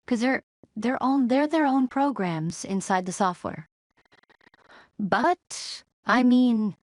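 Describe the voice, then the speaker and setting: A man's voice: high-pitched, dry recording, clean studio sound, voice pushed up. A man voicing an upbeat female-sounding streamer through an AI voice changer, chatting casually and enthusiastically as a promotional video host.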